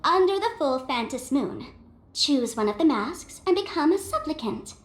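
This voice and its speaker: A woman's voice: high-pitched, sing-song, normal speed, roomy sound. A woman voicing a sly, teasing, theatrical young female trickster in an anime-style video game. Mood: theatrical storytelling.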